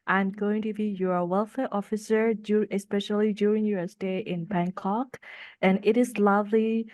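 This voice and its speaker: A woman's voice: medium pitch, steady tone, normal speed, a little mellow. A woman from Myanmar, a welfare officer, greeting everyone cheerfully.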